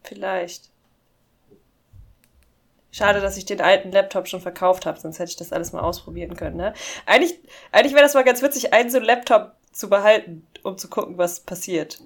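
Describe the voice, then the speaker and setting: A German-speaking woman in casual podcast chat, amused and often laughing as she reads scam emails aloud. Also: mid-range voice. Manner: amused, giggly, reads English slowly and mockingly. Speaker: podcast co-host.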